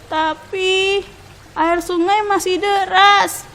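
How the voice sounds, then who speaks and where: A woman's voice: very high-pitched, fairly even intonation, very slow, fairly bright tone, voice pushed up, heavily acted. A woman voicing a kindly, fretful old grandmother toad in a children's storybook. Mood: worried.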